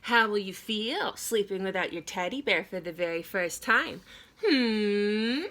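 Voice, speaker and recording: A woman voicing a teasing, needling sister in a storybook, with drawn-out, doubting questions. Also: mid-range voice, lively intonation, a bit bright.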